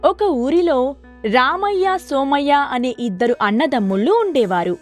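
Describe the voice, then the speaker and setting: A woman narrating a scripted moral story for children in an expressive, clearly phrased storytelling manner. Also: sits high, a little slow, soft background music.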